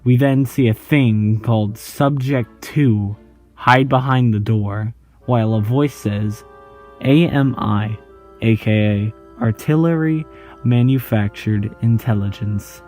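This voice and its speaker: Slow-talking casual male YouTuber. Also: mid-range voice, soft background music. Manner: relaxed, jokey, reads on-screen text aloud. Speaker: YouTube host. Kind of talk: casual video commentary.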